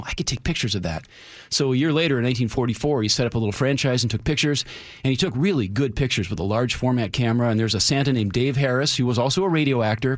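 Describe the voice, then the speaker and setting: A man's voice: medium pitch, dry recording, studio quality. A man chatting casually on the radio as a contributor, telling stories in an eager, rambling way. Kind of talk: casual on-air chat.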